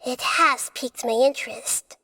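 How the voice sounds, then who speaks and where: A woman playing a small, calm and stern goddess of wisdom in a video game, speaking in a composed, formal way. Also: high voice, moderate pace, vocal fry, slight background noise, exaggerated voice. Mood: guarded, intrigued. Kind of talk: video game cutscene acting.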